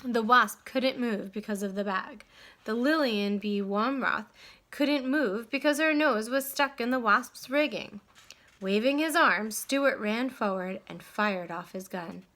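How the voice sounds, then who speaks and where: A woman narrates a children's storybook with dramatic, deliberate phrasing. Her voice is bright and fairly high, and she takes her time through each expressive line. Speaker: storybook reader.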